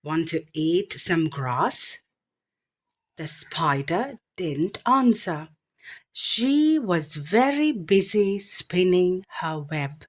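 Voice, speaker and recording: A woman reading a children's picture book aloud in an expressive, deliberate bedtime storytelling manner. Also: on the low side. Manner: expressive, deliberate phrasing with animal sound imitations.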